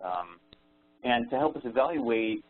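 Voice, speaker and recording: A man's voice: mid-range voice, lively intonation, measured pace, narrow, tinny audio. A man explaining research findings in a plain-spoken, informal technical presentation on a conference call. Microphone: phone conference call. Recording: thin, band-limited phone conference line.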